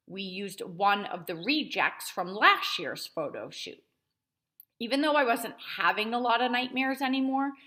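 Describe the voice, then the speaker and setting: A woman's voice: medium pitch, bright, forceful tone. A woman reading a children's novel aloud, narrating expressively in the first person as a child. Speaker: storybook reader narrating as a child.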